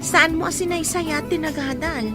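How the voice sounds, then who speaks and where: A woman's voice: high voice, normal speed, lightly acted. A woman playing a cautious, scolding young friend in a drama, with a Filipino accent. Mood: worried scolding. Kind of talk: Ilocano radio drama acting. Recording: very loud music under the dialogue.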